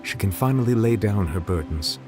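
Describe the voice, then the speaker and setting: A man voicing a solemn, dignified dragon who serves as chief judge in a video game, speaking in a formal, measured, grave manner. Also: lowish voice, expressive, lightly acted. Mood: gently reassuring. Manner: formal, measured, eloquent, reserved. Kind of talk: video game character dialogue. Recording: game dialogue over background music.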